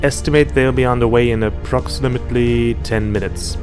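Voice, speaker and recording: A man's voice: medium pitch, steady tone, a little fast, natural voice. A man playing a dutiful junior space navy officer in a sci-fi audio drama, reporting in a clipped, deferential way. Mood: dutiful, businesslike. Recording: faint music under the dialogue.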